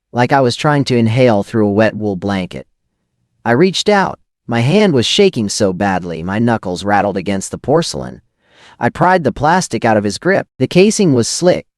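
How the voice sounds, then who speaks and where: A man performs a hardboiled, rattled forger narrating a noir audio drama. His mid-pitched male voice swings widely through clipped phrases and weighty pauses. The sound is very dry, with no room on it, and the delivery is dramatic and cynical. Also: a little mellow, dry recording, close to an everyday voice.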